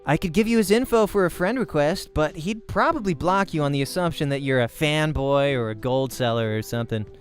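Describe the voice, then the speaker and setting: A man voicing a cocky, jokey young male pro gamer in a visual novel, with a breezy, teasing, animated delivery. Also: on the high side, brisk, soft background music, lightly acted. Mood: matter-of-fact.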